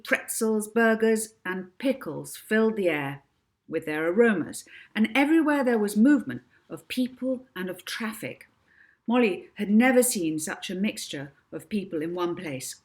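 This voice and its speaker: A woman author reading her children's book aloud as an expressive, measured and emphatic storyteller. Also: fairly low pitch. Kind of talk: expressive children's audiobook narration.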